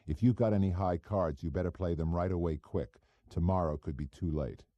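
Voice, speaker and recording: A man playing a terse, guarded hardboiled detective with a deadpan, challenging manner in an audiobook. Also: low voice, a little fast, dry recording, muffled recording, close to an everyday voice. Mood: quiet challenge.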